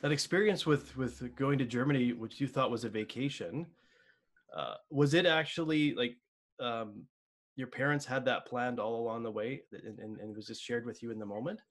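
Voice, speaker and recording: A man's voice: mid-range voice, moderate pace, a bit bright. A man hosting a podcast interview, asking long, rambling questions full of fillers and restarts. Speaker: podcast host.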